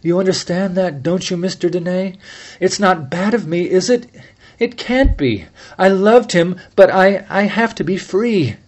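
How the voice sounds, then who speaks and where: A man's voice: fairly high pitch, normal speed, darkish tone, voice pushed up, close to an everyday voice. A man voicing a grieving young woman who falters and stammers through a tearful plea, for an audiobook. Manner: faltering, tremulous, stammers under pressure.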